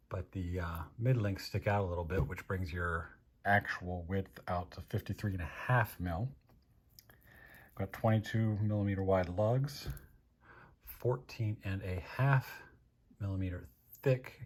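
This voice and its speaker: An American man from Maryland with a husky voice, casually reviewing products as host in a dry, unhurried manner. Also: sits low. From Maryland, United States. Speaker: host of a watch review show.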